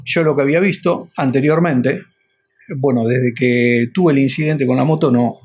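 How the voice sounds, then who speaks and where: A man's voice: medium pitch, normal speed, a little mellow, breathy edge. A man with a Rioplatense Argentine accent, talking casually and rambling a little as he explains things as a motorcycle vlogger.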